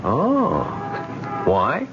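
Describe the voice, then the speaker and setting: An actor playing a brash, hot-tempered young American street tough, slangy and blunt, in an old radio drama. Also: male voice, mid-range voice, wildly swooping pitch, drawn-out words, full character voice. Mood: suspicious. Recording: noisy, dull old radio recording.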